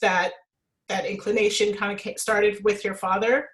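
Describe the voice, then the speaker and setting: A woman's voice: mid-range voice, level tone, normal speed. A woman originally from Jamaica, a sommelier hosting a casual interview, asking friendly, winding questions full of asides. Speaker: sommelier host.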